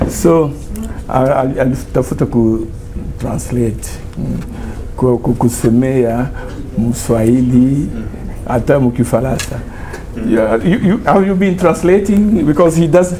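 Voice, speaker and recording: A middle-aged man from Congo with a Congolese accent, a university professor giving a lively, informal talk to a small group, often laughing and switching languages. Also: medium pitch, slow, slight background noise, rough recording. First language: Chiluba and Lingala.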